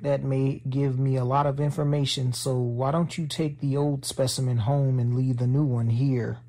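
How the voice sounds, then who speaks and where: Careful middle-aged scientist, quiet and measured, mid-pitched, voiced by a male narrator. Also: little pitch movement, close to an everyday voice. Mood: amazed. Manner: measured, soft-spoken.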